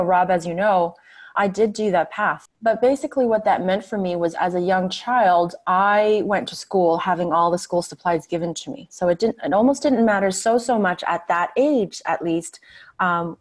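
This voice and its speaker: A woman's voice: on the low side, darkish tone. A woman who grew up in Scandinavia, a guest telling her story in an articulate, conversational way. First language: a Scandinavian language. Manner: articulate, storytelling, with light laughs. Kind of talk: interview conversation.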